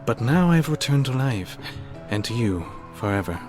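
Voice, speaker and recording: A man's voice: mid-range voice, big pitch swings, normal speed, music in the background, lightly acted. A man playing a fervent, troubled young scientist in a melodramatic, theatrical radio drama style. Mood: elated, tender. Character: anguished, fervent young male scientist, recovering from madness. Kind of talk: melodramatic old-time radio acting.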